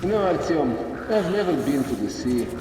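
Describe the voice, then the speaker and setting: Gruff, high-pitched Russian survivor in echoing space. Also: male voice, sing-song, normal speed. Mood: wistful. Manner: slangy, swearing, urgent. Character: gruff, profane, excitable Russian male survivor comrade. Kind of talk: video game character acting. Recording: echoey hall, music or noise behind.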